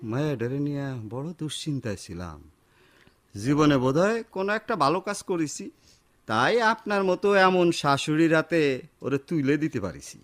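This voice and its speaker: An older village father, humble and grateful, for radio drama. His Bangladeshi-accented voice runs a little high. The melody rises and falls widely. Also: very animated, moderate pace, a bit bright. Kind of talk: Bengali radio drama acting.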